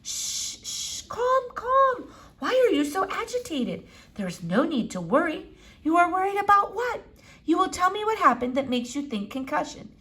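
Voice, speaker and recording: A woman voicing an elderly foreign doctor of philosophy from a children's book, gentle and rambling, with formal, quaint phrasing. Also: very high voice, very animated, moderate pace, voice pushed up, heavily acted. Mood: calm, reassuring. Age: older. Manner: formal, quaint syntax, digressive, soothing. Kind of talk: children's book read-aloud.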